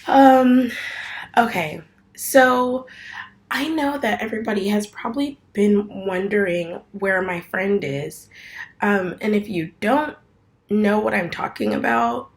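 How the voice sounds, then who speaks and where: A woman's voice: medium pitch, slow pace. A woman in her twenties talking casually to her viewers in a rambling home vlog, full of fillers and restarts. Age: 20s. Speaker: vlogger.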